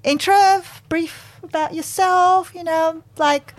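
A woman's voice: very high voice, fairly even intonation, slow pace. A woman hosting a casual radio interview, talking as a presenter to her guest. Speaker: radio show host and interviewer.

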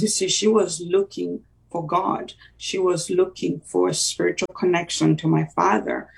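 Gravelly-voiced Ugandan woman recalling grief. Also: lowish voice, distant mic, rough recording. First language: Luganda. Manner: reflective, frequent "you know" fillers. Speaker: guest sharing testimony. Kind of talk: personal testimony in conversation.

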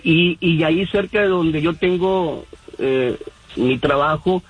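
A man's voice: fairly high pitch, slow, breathy edge, narrow, tinny audio. A man from Mexico, calling in to a radio show and talking in a rambling way with many fillers. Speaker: caller. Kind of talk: phone-in radio call. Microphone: phone line.

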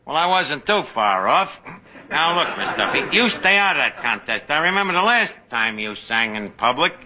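An actor playing a brash, wisecracking middle-aged tavern manager in a 1950s radio comedy, with mangled grammar and snappy timing. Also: male voice, on the high side, sing-song, normal speed, muffled recording. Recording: old radio broadcast with loud hiss.

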